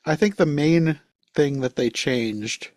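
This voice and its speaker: A man's voice: on the high side, takes it slowly, a little mellow, dry recording, studio quality. A man talking casually as a panelist in a podcast discussion.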